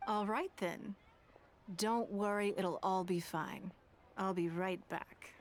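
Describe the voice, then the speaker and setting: An actress playing a composed, authoritative lady in a video game, speaking in a measured, articulate way. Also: mid-range voice, steady tone. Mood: calm, in control. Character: poised, composed adult lady of authority, kindly but stern.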